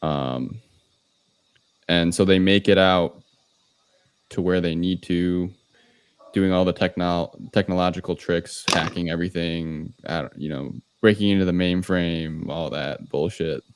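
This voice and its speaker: A male podcast co-host with a very deep voice chats casually about a film. He recounts things loosely and a bit hesitantly, and the sound is dry and free of room echo. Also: measured pace, clean tone. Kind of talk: casual film review chat.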